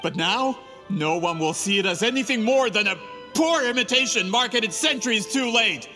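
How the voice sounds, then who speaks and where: A man's voice: high voice, animated, moderate pace, sharp tone. A man voicing a greedy, scheming male printing merchant in a game cutscene, smug and scornful. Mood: bitter. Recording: game audio with reverb and music.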